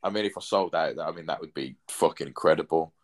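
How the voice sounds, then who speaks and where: A man's voice: rumbling low, fast. A man, hosting a podcast in casual chat, rambling with lots of fillers and casual swearing. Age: 30s. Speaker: podcast host.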